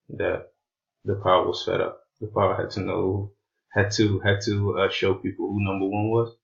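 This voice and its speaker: Portuguese-speaking guy w/ non-native english doing an explainer, really flat and slow, halting, kinda muffled lo-fi audio. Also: fairly low pitch, creaky. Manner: halting, hesitant, word-searching delivery. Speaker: presenter of an explainer video. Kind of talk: informal explanatory voice-over.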